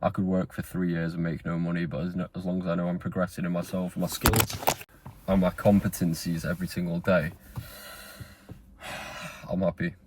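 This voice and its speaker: A man's voice: sits low, level tone, moderate pace, pauses often, noisy background. A man, talking straight to camera in a rambling, earnest motivational monologue. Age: 20s. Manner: rambling, earnest, with fillers and restarts. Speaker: vlogger.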